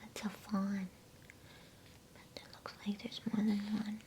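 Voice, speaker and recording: A woman reading a bedtime story as ASMR in a soft, hushed, unhurried whisper. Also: mid-range voice, monotone, a little hiss or hum.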